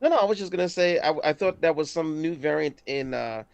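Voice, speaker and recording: A man's voice: a little high, expressive, fairly quick, creaky, clean tone, no echo. A man from Puerto Rico co-hosting a podcast, chatting bluntly and joking about money and games. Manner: blunt, jokey, speaks his mind. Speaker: podcast co-host. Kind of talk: casual podcast chat.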